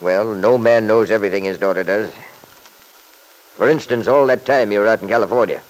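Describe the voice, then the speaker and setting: A man playing a low-voiced, domineering radio-drama father. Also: brisk, natural voice, poor audio. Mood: suspicious, probing. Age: middle-aged. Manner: gruff, blustering, commanding. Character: wealthy, arrogant father, domineering and short-tempered. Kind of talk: classic radio soap opera acting.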